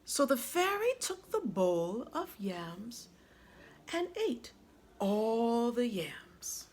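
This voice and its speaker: A woman reading a children's story aloud like a teacher, with dramatic, deliberate phrasing. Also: fairly high pitch, big pitch swings, slight background noise.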